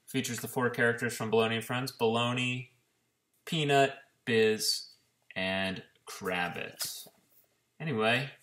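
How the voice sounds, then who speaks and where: A man's voice: medium pitch, fairly bright tone. A man in his thirties chatting casually to a laptop webcam from a living room, talking as an author. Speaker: children's author and illustrator. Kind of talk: casual chat to camera. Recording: echoey room.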